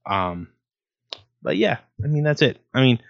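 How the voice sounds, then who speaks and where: A man's voice: medium pitch, lively intonation, measured pace, creaky. A man hosting his own sports talk show, rambling casually with many ums and restarts. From Ohio, USA. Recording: dull lo-fi sound.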